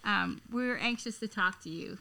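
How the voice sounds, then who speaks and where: A woman's voice: mid-range voice, moderate pace, creaky, sharp tone. A woman hosting an interview, giving friendly brief prompts. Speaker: interview host.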